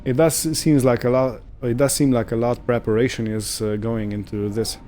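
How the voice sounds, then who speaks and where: A man giving casual let's-play commentary on a video game, musing to himself in an unhurried way. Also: mid-range voice, flat delivery, mellow, slight background noise. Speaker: gamer narrating own farming simulator playthrough.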